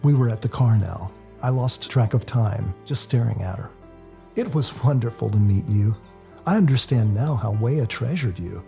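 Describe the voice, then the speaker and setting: A man narrates a first-person story in a confessional, expressive way. His voice is dark and mellow with a heavy gravelly fry, and soft music plays underneath. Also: mid-range voice, moderate pace. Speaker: author reading their own novel.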